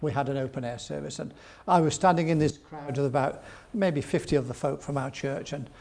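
Older man, gravelly voice, fairly high pitch; rambling, chuckling interview guest. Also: fairly quick, a little mellow. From England. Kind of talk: storytelling interview.

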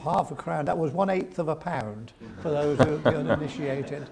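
Older guy, gravelly, a bit high, rambling storyteller who chuckles a lot. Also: lively intonation, normal speed, over a noisy crowd. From England. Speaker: guest. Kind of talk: storytelling interview.

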